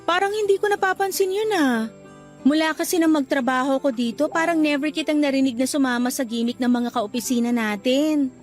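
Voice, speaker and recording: A woman with a Filipino accent playing a gentle, anxious young office worker in a radio drama. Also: high voice, fairly quick, soft background music, lightly acted. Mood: shy, friendly.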